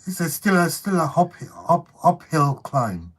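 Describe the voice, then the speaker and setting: A man whose voice has gone hoarse and strained since surgery left one vocal cord not working, chatting casually on a live stream and telling stories to viewers. Talking hurts him. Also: a little high, measured pace. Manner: relaxed storytelling, addresses viewers as guys. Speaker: co-host of family channel.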